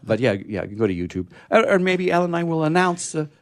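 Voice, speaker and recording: A man reading poetry aloud in a measured way and commenting on it casually, as on a radio program. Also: on the high side, big pitch swings.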